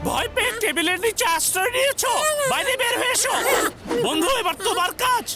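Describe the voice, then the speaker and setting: Squeaky, clever cartoon sidekick. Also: animated, a little fast. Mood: scheming, coaxing. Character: clever, taunting small cartoon sidekick, quick with plans. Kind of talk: animated cartoon dubbing. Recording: loud music behind the voice.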